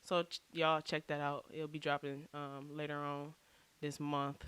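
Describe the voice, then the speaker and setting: A woman's voice: low-pitched, level tone, a little slow, a bit bright, smooth. A woman in her thirties from Detroit, a comedian answering in an easygoing way during a casual interview. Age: 30s. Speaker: comedian guest.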